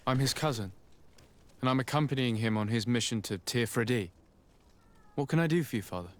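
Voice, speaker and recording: A man's voice: medium pitch, fairly quick, slight background noise, natural voice. An actor playing a composed young nobleman diplomat in a video game, speaking in a polite, formal, articulate way. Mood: courteous, composed.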